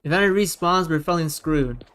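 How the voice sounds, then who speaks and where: A man from the United States giving casual gameplay commentary, rallying teammates with quick repeated calls. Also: on the high side, fairly bright tone. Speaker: gamer streamer.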